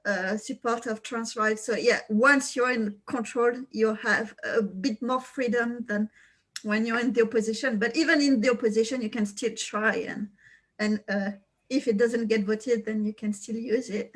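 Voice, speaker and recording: A woman with a French accent speaks on a video call, her voice sitting fairly high. She talks in a halting way, stopping often on fillers as she explains her work as a councillor. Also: fairly even intonation, poor audio. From France. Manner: hesitant, many fillers. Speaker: local councillor. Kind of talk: panel introduction on a video call.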